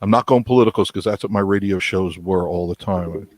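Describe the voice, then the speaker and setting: A man in his sixties chatting casually, folksy and quick to laugh. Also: deep voice, no echo. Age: 60s. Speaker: guest on a live chat stream. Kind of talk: casual chat.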